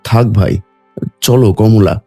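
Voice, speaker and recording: A humble, resourceful village father, played by a man, speaks in a lowish voice. He is plain and reassuring, in cartoon moral-story dialogue over faint music. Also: takes it slowly, dark tone. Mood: resigned.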